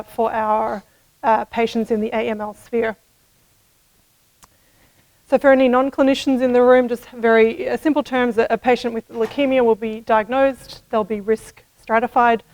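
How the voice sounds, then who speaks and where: Higher-voiced woman presenting research. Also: low-quality recording. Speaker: medical researcher presenting at a conference. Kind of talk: scientific conference talk. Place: indoor conference room, at a lectern. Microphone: podium microphone.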